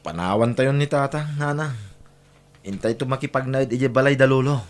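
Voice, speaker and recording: A man's voice: mid-range voice, normal speed, close to an everyday voice. A man playing a protective, resolute grown son with an Ilocano accent in a radio-style family drama, speaking earnestly. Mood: earnest, determined. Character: protective, resolute grown child devoted to suffering mother.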